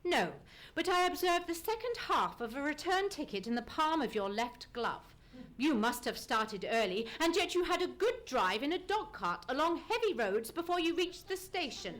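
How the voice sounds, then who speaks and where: A woman playing a courteous, self-assured gentleman detective in a live radio play, with formal, deductive delivery. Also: high voice, sing-song, moderate pace. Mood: assured, reassuring. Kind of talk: live old-time radio play acting. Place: live on a theatre stage.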